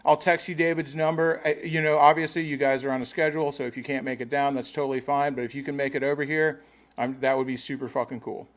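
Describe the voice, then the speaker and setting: A man's voice: medium pitch, flat delivery, fast. A man hosting a livestream and calling a prize winner on the phone, joking, swearing and laughing between mock-grand speeches. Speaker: host of a livestream giveaway. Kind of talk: casual phone call on a livestream.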